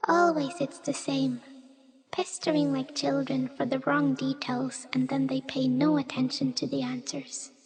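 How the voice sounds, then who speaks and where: Woman as a high, slow, cryptic creature. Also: little pitch movement, a little mellow. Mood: weary, fed up. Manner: solemn, riddling, deliberate. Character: weary, cryptic otherworldly small creature, fed up. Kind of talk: poetic radio play monologue. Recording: long cavernous reverb.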